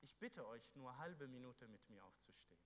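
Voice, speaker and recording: A man preaching a sermon to a congregation, fervent, moving between loud exhortation and quiet, earnest passages. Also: mid-range voice, expressive, normal speed. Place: church hall. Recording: echoey hall with faint hum.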